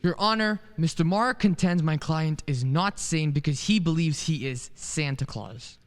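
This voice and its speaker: A man acts out an idealistic defense lawyer in a radio play. His voice is very high and lively, full of earnest pleading, and rings with long hall reverb. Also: unhurried. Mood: earnest, insistent. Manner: eager, theatrical pleading. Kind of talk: stage-style radio play acting.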